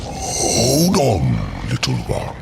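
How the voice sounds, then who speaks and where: Ancient gravelly stone giant, extremely deep. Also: male voice, wildly swooping pitch, heavy background noise, heavily acted. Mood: grave, ominous. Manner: slow, ponderous, halting speech. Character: ancient weary stone construct warden, slow and gentle. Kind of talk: dramatic video game cutscene acting.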